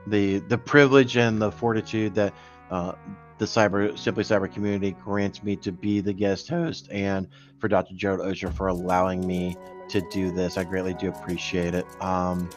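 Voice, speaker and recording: A man's voice: deep voice, steady tone, normal speed, soft background music. A man guest-hosting a live cyber news stream, chatting casually with many fillers and restarts. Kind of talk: casual livestream chat.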